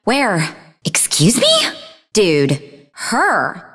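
An actress playing a sarcastic, sharp-tongued young woman scientist in a video game, with a mocking, snappy manner. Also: medium pitch, wildly swooping pitch, drawn-out words, husky, heavily acted. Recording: long reverberant hall echo.

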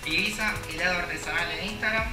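A man's voice: high voice, fast talker, distant mic, over music. Off-mic man in a roomy shop. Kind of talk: casual promo chat. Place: small ice cream shop.

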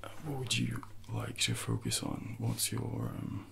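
Soft-spoken man with heavy vocal fry. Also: big pitch swings, a little slow, in a whisper. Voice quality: soft, breathy, gravelly low voice. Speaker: ASMR host role-playing a personal trainer. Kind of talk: soft-spoken ASMR role-play.

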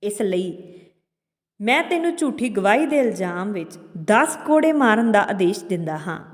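A woman's voice: mid-range voice, very animated, moderate pace, close to an everyday voice. A woman voicing a stern holy man who judges as king in a story, interrogating and commanding. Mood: stern, accusing. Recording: long hall-like reverb on voice.

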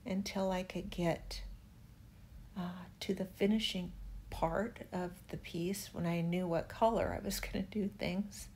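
An older woman narrating her furniture restoration in a relaxed, chatty way, gently enthusiastic about the wood. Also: medium pitch, slight background noise. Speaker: furniture refinisher.

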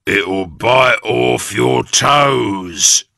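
A man voicing a nasty, scheming old husband in a storybook reading, slow and sneering. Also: fairly low pitch, expressive, gravelly, bright, forceful tone, no room sound, studio quality, heavily acted.

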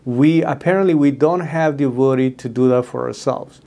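An earnest middle-aged man with a Spanish accent talks straight to camera at a desk in a studio room, his voice sitting at an ordinary middle pitch. He speaks as a host, thinking aloud with fillers and restarts. Spanish is his first language. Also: fairly quick, gravelly, warm tone. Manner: earnest, many fillers and restarts, gestures with hands. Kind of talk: casual talk to camera about a book.

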